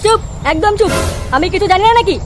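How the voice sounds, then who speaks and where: A man voicing an indignant, blunt young bridegroom in a comedy cartoon, with a pitched-up, whiny delivery. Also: fast talker, over music. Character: petulant, outspoken young bridegroom rejecting a match. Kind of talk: exaggerated comedy cartoon acting. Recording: noisy track with street and vehicle ambience.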